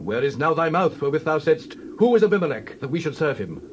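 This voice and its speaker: A man acts a mocking city official in a Bible drama. The voice is fairly high and swoops theatrically with scorn. The recording is dull, with faint noise. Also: very animated, fast talker. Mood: scornful, taunting.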